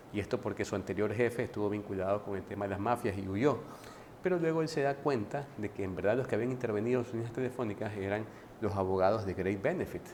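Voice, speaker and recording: Low-voiced man chatting about a book. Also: a little mellow, slight background noise. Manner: conversational, frequent restarts and tag questions. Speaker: book reviewer. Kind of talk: casual book commentary.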